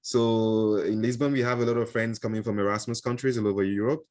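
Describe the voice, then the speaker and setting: A man with a fairly low voice talks loosely as an interview guest, stopping often for "um" and "uh" and restarting his sentences. His is Igbo, and he is heard through a video call. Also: fairly even intonation, a little fast, darkish tone. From Nigeria. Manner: hesitant, many fillers and restarts, chuckles often. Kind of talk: casual interview answers.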